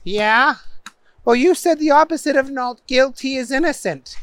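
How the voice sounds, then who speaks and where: A narrator acts out a tired courtroom judge in a comic tale. The voice is bright and raised, insistent and exasperated, spelling out each choice in pointed questions. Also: high-pitched, normal speed, dry recording, pitched above the performer's own voice. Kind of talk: comic character voice in storytelling.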